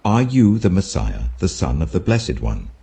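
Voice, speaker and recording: Man acting a stern, gravelly high priest. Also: huge pitch swings, normal speed, dark tone, exaggerated voice. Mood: imperious questioning. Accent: British Received Pronunciation. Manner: accusing, imperious, rising emphatic questions. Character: stern, indignant male high priest interrogating a prisoner.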